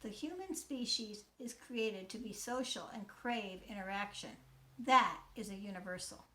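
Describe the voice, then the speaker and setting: A woman in her sixties from the United States, a teacher giving a scripted talk in a measured, earnest way. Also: medium pitch, pushed and bright, reverberant, slight background noise. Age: 60s. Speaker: teacher and mentor. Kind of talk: scripted talk, read aloud.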